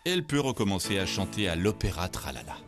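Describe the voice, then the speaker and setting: A man narrating a scripted children's story in an expressive, theatrical way. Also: low-pitched, quick pace. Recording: music playing behind the voice.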